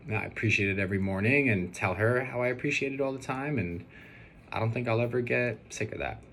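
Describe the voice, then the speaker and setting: A young man recording a casual personal video message, talking in a hesitant, rambling and earnest way. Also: on the low side. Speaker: groom-to-be answering questions on video. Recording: steady background noise.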